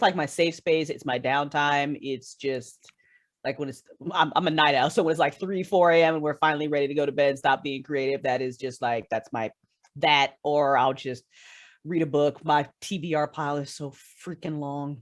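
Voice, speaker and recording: A woman talks casually as a guest on a podcast. Her way of speaking is informal and effusive, and she is quick to laugh. The recording is dry, without room echo. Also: sits low. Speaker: podcast guest, writer and audio fiction creator. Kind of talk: casual chat.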